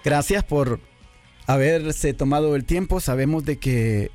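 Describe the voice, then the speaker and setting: A man's voice: on the high side, measured pace, darkish tone. Man, radio host, effusive, hesitant fillers, studio mic, faint music behind. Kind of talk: live radio interview. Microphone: studio microphone.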